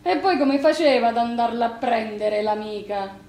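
An actress playing a blunt, scolding sister with a Sicilian accent in an expressive piece of dialect theatre. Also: female voice, fairly high pitch, normal speed, lightly acted. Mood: reproachful. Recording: roomy theatre sound with background noise.

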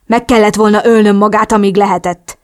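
A man's voice: high voice, fairly even intonation, natural voice. A woman voicing a hot-tempered, hostile man in a storybook, accusing and threatening in angry outbursts. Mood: furious, accusing.

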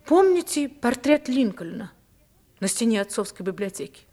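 An actress playing a resolute, impassioned upper-class young wife in a theatrical radio play, with deliberate, emotive diction. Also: female voice, a little high, sing-song, dark tone. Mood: commanding, secretive. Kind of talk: theatrical Russian radio drama acting.

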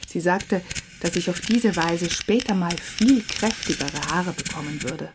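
A woman's voice: fairly low pitch, a little slow, noisy background. A woman reading a reflective, scripted first-person narration.

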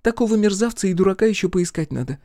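Scornful centauress, played by a man: storybook fantasy, sharp tongue, indignant delivery, raised pitch. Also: fairly quick, no room sound, clean studio sound, natural voice. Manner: indignant, derisive.

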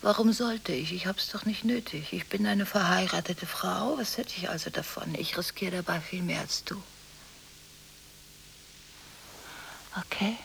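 Radio play casting: emotionally contradictory wife on the telephone, lowish female voice, breathy and abruptly changeable, on a slightly hissy eighties recording. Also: moderate pace, hardly any pauses, a bit bright, rough recording. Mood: seductive, conspiratorial. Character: volatile, emotionally contradictory married woman on frantic phone calls. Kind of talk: 1980s German radio drama monologue.

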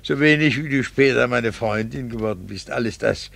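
A man's voice: mid-range voice, moderate pace, gravelly, fairly bright tone. A man playing a bitter, jealous husband in a 1960s German radio play, with scornful, formal theatrical diction. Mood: cutting, insistent. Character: bitter, jealous husband, long resentful of unfaithful wife.

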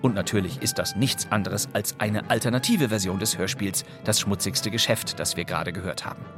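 A man hosting scripted, wry presentations of old radio crime plays in a playful, theatrical storytelling way. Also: fairly low pitch. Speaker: podcast host.

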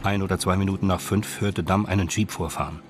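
An actor as the detached narrator of a radio crime drama, telling it in a measured, matter-of-fact way. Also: male voice, sits low, fairly even intonation, noisy background, lightly acted. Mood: detached narration. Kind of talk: scripted crime story narration.

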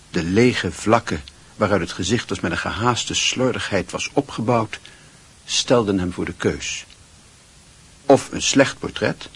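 A man acting as the brooding narrator of a literary radio play, with a low, husky voice. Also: exaggerated voice. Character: brooding male narrator of a literary coming-of-age story.

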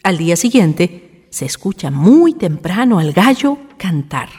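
A woman's voice: fairly low pitch, very animated, unhurried, a little mellow, a little hiss or hum. A woman with a Chilean accent reading scripted narration for a radio drama. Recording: music bed behind narration.

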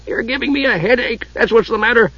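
Casting: irascible old fantasy dwarf, played by a male narrator, growly and husky, straining high, for a storybook. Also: a little fast, sharp tone, dry recording, highs cut off, exaggerated voice. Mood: exasperated. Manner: growling, spluttering, exclamatory. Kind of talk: audiobook character voice.

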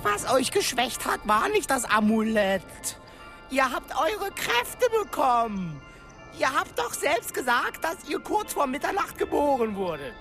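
A cheeky little imp of a servant in a radio play. He is high and creaky, swooping about with impudent, mock-courtly exasperation. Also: sing-song, a little slow, a bit bright, soft background music, exaggerated voice. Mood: matter-of-fact cheek. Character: cheeky, impish little male magical servant creature. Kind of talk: German radio drama acting.

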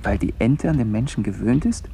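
Radio drama, noisy tape: brooding wanderer, gravelly low voice, fragmentary inner monologue, half-whispered. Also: male voice, expressive, a little fast. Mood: musing. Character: wandering, brooding man narrating his Munich outing. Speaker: radio play actor. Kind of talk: literary radio play monologue. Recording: noisy radio recording with clicks.

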